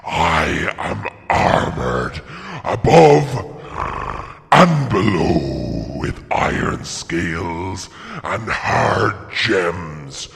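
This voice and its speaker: Giant vain dragon from a storybook, super slow pompous growl, menacing, huge echoing boom. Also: male voice, very animated, fairly bright tone, exaggerated voice. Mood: menacing boasting. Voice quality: growling, gravelly roar. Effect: booming reverb effect. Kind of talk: dramatised storybook reading. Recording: long cavernous reverb.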